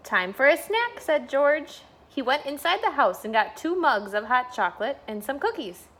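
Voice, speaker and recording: A woman's voice: high voice, very animated, moderate pace, a bit bright. A woman, a school staff member, reading a bedtime storybook aloud for children.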